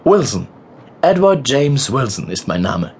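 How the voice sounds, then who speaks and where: A courteous, outspoken newspaperman. His pitch moves animatedly as he hesitates and apologizes in this audio drama, over faint background ambience. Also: sing-song, a little slow, a little mellow, a little hiss or hum. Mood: chatty, eager. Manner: hesitant, apologetic, slipping into English words. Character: talkative, polite, outspoken American male war correspondent.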